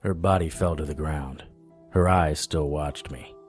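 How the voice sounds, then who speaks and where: A man playing a weary, wry monster fighter, narrating an audio drama in the first person with a husky voice. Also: rumbling low, steady tone, unhurried, soft background music. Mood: grim, haunted.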